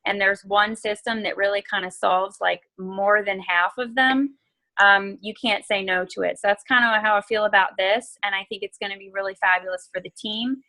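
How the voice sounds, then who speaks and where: Bright, dry-sounding woman on a team call. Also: medium pitch, fairly even intonation, normal speed, no room sound. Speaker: team leader co-hosting an online call. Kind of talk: casual explanatory talk on a video call. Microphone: video call.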